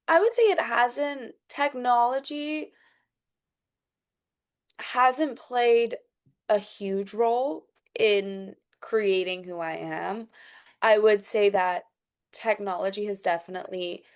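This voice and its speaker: A young woman with a mixed international accent and long vowels, giving thoughtful interview answers with frequent hesitations. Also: mid-range voice. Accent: mixed international, elongated vowels from Thai. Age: young adult. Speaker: podcast guest, international student.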